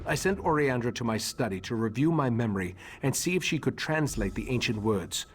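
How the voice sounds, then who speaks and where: An actor playing a solemn, thoughtful mage leader in a fantasy video game, speaking in a measured, formal, ruminative way. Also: male voice, mid-range voice, animated, slight background noise, close to an everyday voice. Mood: earnest, reflective.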